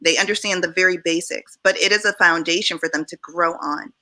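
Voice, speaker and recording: A woman's voice: lowish voice, brisk, sharp tone. A woman from Jamaica speaking earnestly as a panelist in a discussion.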